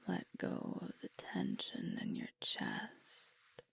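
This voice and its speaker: A woman playing a sweet, soothing werewolf girlfriend in an ASMR sleep-aid roleplay, whispering gently and reassuringly. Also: slow, no echo, close to an everyday voice. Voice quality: breathy whisper.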